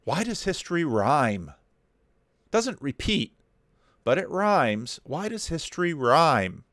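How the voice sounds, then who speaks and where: A man commenting on a video clip, talking in animated rhetorical questions with slow, deliberate emphasis. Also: on the high side, dry recording, clean studio sound.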